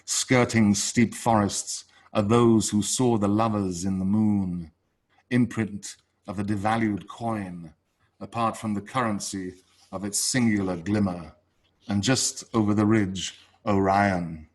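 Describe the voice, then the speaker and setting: A man with a slightly low voice reads poetry at a very unhurried pace. He sets each short phrase apart with deliberate, dramatic pauses, as a poet does at a live online reading. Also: steady tone. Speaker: poet reading own work. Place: online video call reading. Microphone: video call.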